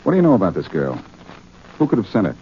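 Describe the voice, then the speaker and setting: Smooth, cocky 1940s radio detective; teasing, very animated delivery, muffled noisy recording. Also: male voice, on the low side, fast talker. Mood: confident, scornful. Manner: breezy, sardonic, teasing. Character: cocky, wisecracking male amateur detective, smooth and unflappable.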